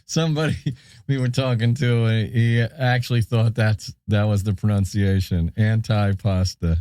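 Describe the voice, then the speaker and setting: Guy podcast sidekick, mellow lowish voice, casual short replies. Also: fairly even intonation, normal speed, clear, resonant tone, dry recording, studio quality. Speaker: podcast co-host. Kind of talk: casual banter, brief replies.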